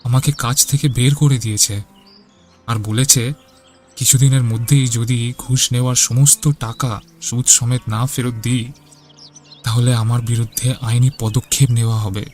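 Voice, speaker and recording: A man's voice: mid-range voice, fairly even intonation, measured pace, darkish tone, soft background music, close to an everyday voice. A man playing an irritable, hard-hearted grown son in a cartoon, curt and defiant. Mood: worried. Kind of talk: cartoon voice acting.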